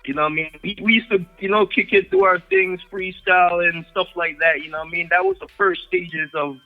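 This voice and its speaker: A young man with a Jamaican accent, a guest artist chatting casually in an interview, relaxed, and often saying "you know what I mean". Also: high-pitched, fairly quick, narrow, tinny audio, faint music behind.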